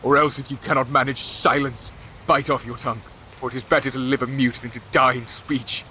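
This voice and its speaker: A man's voice: medium pitch, level tone, brisk, pushed and bright, a little hiss or hum, close to an everyday voice. An actor playing a stern, wrathful king in a fantasy audio drama, with a commanding and formal archaic manner. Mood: cold anger. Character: stern, wrathful warrior king granting cold mercy.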